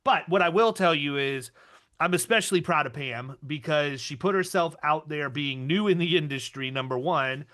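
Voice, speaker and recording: Man, podcast host, casual rambling chat, bright forceful voice. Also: a little high, normal speed. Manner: energetic, rambling with restarts.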